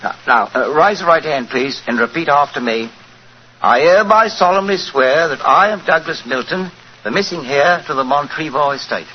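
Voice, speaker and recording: A polite, officious male official, played by an actor, speaking formally in a fairly high voice. It is vintage radio drama, muffled and faintly noisy. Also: animated, moderate pace, close to an everyday voice. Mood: officious, courteous. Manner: formal, courteous. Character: polite, officious consulate oath commissioner. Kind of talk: 1940s radio acting.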